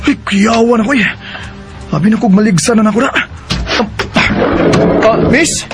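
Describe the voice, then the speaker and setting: A man's voice: high voice, normal speed, lightly acted. An actor with a Cebuano accent playing a whining, impulsive, heartbroken young drinking man in a radio drama. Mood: tired, startled. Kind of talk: Filipino radio drama acting. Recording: radio drama with music bed behind.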